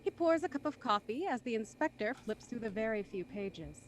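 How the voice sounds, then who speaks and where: A woman's voice: a little high, expressive, brisk. A woman reading scripted, present-tense narration as the narrator of a radio drama.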